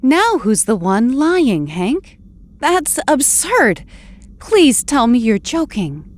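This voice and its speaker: An actress playing an anguished but determined heroine in an audio drama, with an emotional, pleading delivery. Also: female voice, sits high, sing-song, takes it slowly, exaggerated voice. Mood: shaken. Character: anguished, determined heroine back from presumed death.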